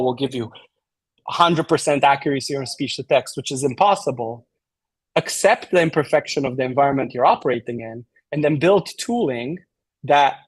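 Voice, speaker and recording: A man's voice: medium pitch, breathy edge, dry recording. A man from Romania with a slight Romanian accent, explaining things as a guest in a podcast interview, with lots of fillers and restarts. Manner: fast, filler-heavy explaining with many restarts. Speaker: tech company guest.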